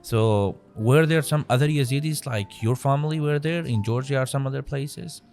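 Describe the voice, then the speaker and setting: A man's voice: mid-range voice, moderate pace, a little mellow, faint music behind. A man hosting a podcast interview, asking friendly, informal questions full of "like". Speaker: podcast host.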